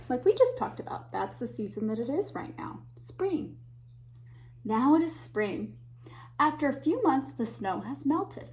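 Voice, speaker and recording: A woman with a slightly high voice reads a children's picture book aloud in the warm, expressive way of a teacher at storytime. She shifts her pacing for suspense, sometimes hushing to a whisper, and stops now and then to ask little listeners what they can spot on the page. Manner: animated, gasps and whispers for suspense, pauses to point out pictures.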